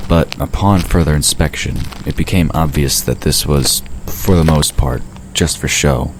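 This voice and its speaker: A man reading scripted narration for an audio drama. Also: a bass voice. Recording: noisy background of ambience effects and vehicle sound.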